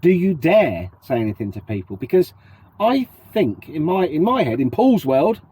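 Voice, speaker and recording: A man's voice: on the high side, big pitch swings, moderate pace, warm tone, husky. A middle-aged British man with a cold and a sniffly voice, chatting casually to his vlog viewers and putting questions to them. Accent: British English. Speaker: vlogger. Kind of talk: casual to-camera chat.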